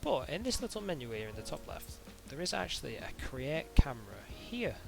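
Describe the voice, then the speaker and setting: A man narrating an informal software tutorial in a hesitant, halting way, as if thinking aloud. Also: a little high, sing-song, gravelly, over music. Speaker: tutorial instructor.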